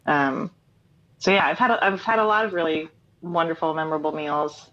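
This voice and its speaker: A woman in her thirties with a low voice talks casually as an interview guest. She rambles warmly through fillers and laughs. The audio is dull and lo-fi, like a remote call. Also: normal speed. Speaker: interview guest, singer-songwriter.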